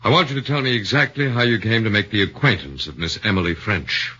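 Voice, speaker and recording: Defense lawyer, very low, bright, measured and probing; 1940s radio. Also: male voice, slightly rough. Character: shrewd, methodical defense lawyer. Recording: muffled 1940s radio broadcast.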